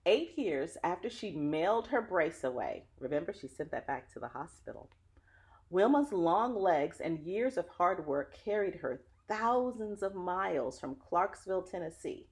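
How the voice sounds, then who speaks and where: A woman's voice: on the low side, sing-song, measured pace, a little mellow. A woman reading a children's story aloud like a teacher, with dramatic phrasing and an engaging way of addressing young listeners.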